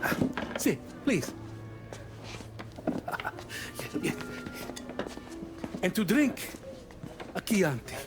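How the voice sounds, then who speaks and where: An effusive Italian restaurant host with an Italian accent. His high voice swoops widely and takes its time, fussing eagerly over a guest in a cinematic crime game. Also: extremely slow, long pauses, full character voice. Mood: fawning. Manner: fawning, excitable hospitality. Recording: voice over background music.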